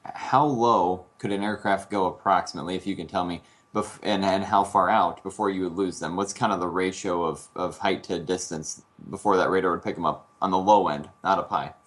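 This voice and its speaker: A man's voice: low voice, fairly even intonation, fairly quick, few pauses, fairly bright tone. A man, a pilot on a talk show, asking casual questions in a polite, tentative way.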